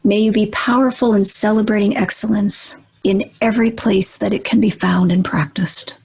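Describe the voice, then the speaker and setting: A woman leading a guided meditation in a gentle, deliberate manner, with long pauses and a breathy, husky voice that often nears a whisper. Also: mid-range voice, fairly even intonation, rough recording.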